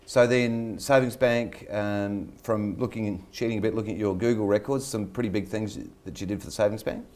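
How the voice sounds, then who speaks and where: A man's voice: fairly low pitch, fairly even intonation, moderate pace, darkish tone. An older man hosting an interview show, telling a chatty personal story before he introduces his guest. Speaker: interview host.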